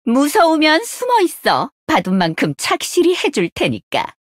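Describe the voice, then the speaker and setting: A woman's voice: sits high, huge pitch swings, normal speed, no echo, clean studio sound. A woman voicing a brash, money-hungry young female mercenary leader in a game, with cocky, theatrical taunts. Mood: cool, businesslike. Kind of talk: Korean mobile game character voice lines.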